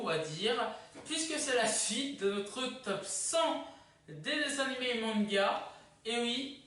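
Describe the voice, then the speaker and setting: A YouTube host casually presenting a countdown video in a chatty, halting way. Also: medium pitch, fairly bright tone. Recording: echoey room, distant microphone.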